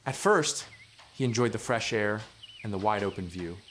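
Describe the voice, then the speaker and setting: A man reading a scripted children's story in a measured storytelling manner. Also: on the low side, expressive, a bit bright, faint music behind. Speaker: narrator.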